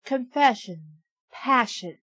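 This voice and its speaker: High voice lady reading bad fanfic real slow, snarky little asides, dry lo-fi mic. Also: husky, no room sound. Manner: deadpan reading broken by sarcastic asides and giggles. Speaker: reader and podcast host. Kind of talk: comic dramatic reading of fan fiction. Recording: dull lo-fi sound.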